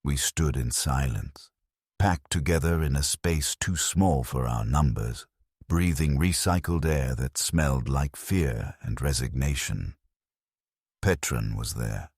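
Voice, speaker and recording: A man's voice: extremely low pitch, slow pace, a little mellow, husky, dry recording, studio quality. A man giving a grave, solemn first-person dramatic narration from a script.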